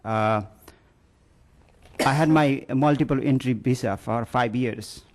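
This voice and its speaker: A man from Nepal, giving personal testimony at a county meeting, halting with many hesitations. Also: medium pitch. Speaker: public commenter at county meeting. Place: county board meeting room.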